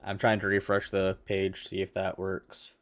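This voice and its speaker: A man's voice: deep voice, monotone, normal speed, no echo. A man talking casually with a tabletop gaming group as one of the players. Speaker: tabletop role-playing player.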